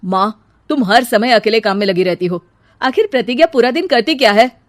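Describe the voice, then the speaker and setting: A woman's voice: on the high side, lively intonation, moderate pace, no room sound, clean studio sound, close to an everyday voice. A woman voicing an irritable, fault-finding young husband with a blunt, scolding manner in a cartoon story. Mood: reproachful.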